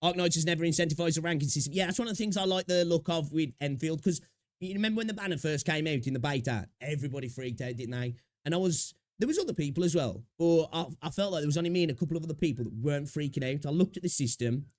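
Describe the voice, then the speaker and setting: A man's voice: a little high, quick pace, no echo. A young man chatting casually on a live stream, a little sniffly with a sore throat, rambling and greeting viewers. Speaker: streamer reacting to videos.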